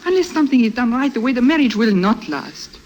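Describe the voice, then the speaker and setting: A woman's voice: on the high side, expressive, quick pace, darkish tone, lightly acted. A woman playing a lonely young wife and former stage actress with a French accent in a 1950s radio drama, theatrical and wistful. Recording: faint hiss of an old broadcast.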